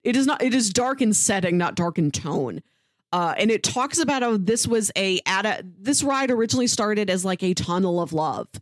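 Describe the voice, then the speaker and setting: Woman podcast cohost, chatty n informal, medium pitch, really dry close sound, casual recs. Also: expressive, moderate pace, no room sound. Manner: chatty, informal, frequent "like" asides. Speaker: podcast co-host.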